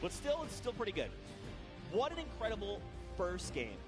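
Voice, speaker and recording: Hoarse guy casting esports in an arena, high voice, gets hyped on big plays, loud music behind. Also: moderate pace. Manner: excitable, voice climbing on big plays. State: voice going hoarse. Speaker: esports caster. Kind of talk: live esports play-by-play commentary. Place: large arena.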